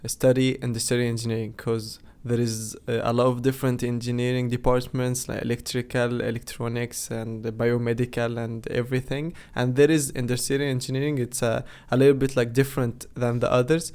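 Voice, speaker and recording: A male podcast host with a warm, mellow voice that stays level and even. He talks in a relaxed, chatty way, dropping in "like" as he goes. His pitch sits in the middle. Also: fairly quick, hardly any pauses, smooth. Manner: relaxed, chatty, frequent "like" fillers. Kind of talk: casual podcast interview.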